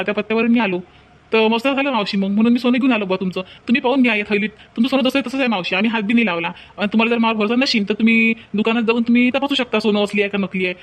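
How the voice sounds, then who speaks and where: A man voicing an honest, humble young working man in a cartoon, speaking rustic Vidarbha Marathi in a plain-spoken way. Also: very high-pitched. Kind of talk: comedy cartoon dialogue.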